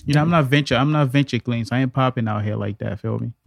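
A man's voice: medium pitch, fast, vocal fry, dry recording, clean studio sound. A man on a podcast talking casually and thoughtfully as a guest. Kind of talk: casual chat.

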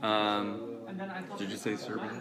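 A man's voice: lowish voice, completely flat delivery, slow, echoey room, crowd noise. A man who helps train church planters, bantering playfully with a pastor.

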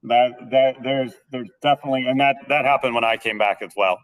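A man's voice: mid-range voice, a little fast. A man in his thirties, a band teacher, talks through his methods in a casual podcast chat. His voice is slightly nasal, and he often stumbles and repeats words as he gets going. He sits a little away from the microphone, so he sounds somewhat distant. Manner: hesitant, repeats words when starting sentences. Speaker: school band director.

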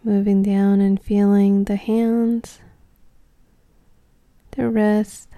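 Woman, meditation guide, very soft dark mellow tone, slow pace, gentle and soothing, long pauses, dry close sound. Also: mid-range voice, flat delivery, no room sound.

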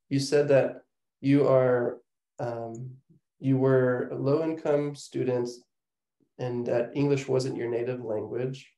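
A man's voice: medium pitch, warm tone, echoey room. A man hosting an interview podcast, enthusiastic and affirming toward his guest. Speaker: podcast host.

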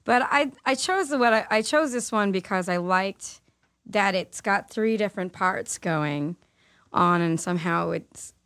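Woman musician, raspy, gravelly low voice, loose chatty talk, dry close sound. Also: measured pace, a bit bright, no echo. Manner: loose and chatty, many fillers. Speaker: musician guest. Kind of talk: casual chat.

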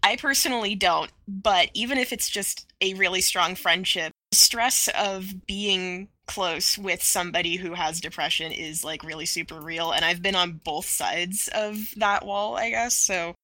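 Woman chatting on a podcast, super bright forward voice, keeps restarting and repeating words, dry close sound. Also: lowish voice, no room sound, low-quality recording. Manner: halting, hedging, repeats words. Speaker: podcast panelist. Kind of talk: casual animated-show discussion.

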